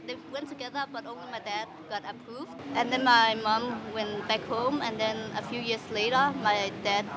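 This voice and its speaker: A woman's voice: a little high, fairly even intonation, moderate pace, crowd noise. A woman in her thirties from Denmark, answering interview questions in a hesitant, casual way with many fillers and restarts. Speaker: interview guest. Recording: street recording with clear background noise.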